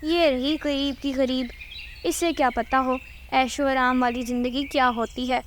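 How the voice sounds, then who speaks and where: A woman voices a haughty, greedy crow wife in a cartoon fable. The voice is high and boastful, with a demanding manner, over faint nature noise. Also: steady tone, measured pace. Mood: gloating. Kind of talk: Hindi cartoon dubbing.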